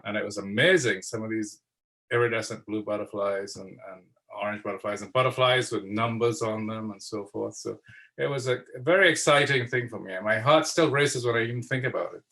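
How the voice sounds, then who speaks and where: A man from Trinidad and Tobago giving an unhurried slide presentation over a video call, pausing for fillers and repeating words. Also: on the low side, vocal fry, pushed and bright, rough recording. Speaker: guest speaker.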